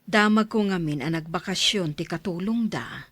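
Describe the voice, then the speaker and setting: A woman's voice: on the low side, normal speed, a bit bright, no room sound. A woman playing an obliging, inquisitive worker's wife and mother in an audio drama, with a deferential manner.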